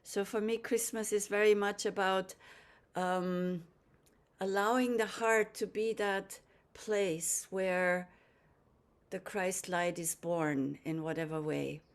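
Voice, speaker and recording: A soft-spoken woman, recounting a memory over a video call. She talks slowly and gently, pausing often with little ums. Her voice sits at an ordinary middle pitch. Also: steady tone. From Austria. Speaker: guest musician and storyteller. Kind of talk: relaxed storytelling with gentle pauses.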